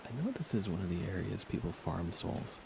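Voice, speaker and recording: A man softly talking to himself while livestreaming a video game in a hushed, casual ASMR style, hesitant and musing. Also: sits low, very animated, brisk, gravelly, background noise. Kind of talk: ASMR gaming commentary, hushed casual chat.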